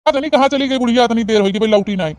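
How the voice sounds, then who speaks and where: A man's voice: extremely high pitch, racing, a little mellow, a little hiss or hum. A man voicing a bossy, grumbling old village father-in-law in a comedy cartoon, scolding with an Awadhi accent. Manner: scolding, blustery.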